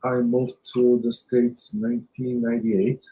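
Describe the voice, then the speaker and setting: Bulgarian-accented man, interview guest, slow halting delivery, mid pitch. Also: flat delivery, warm tone, low-quality recording. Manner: unhurried, many uh fillers, halting phrasing. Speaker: guest, audio company owner. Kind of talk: storytelling interview.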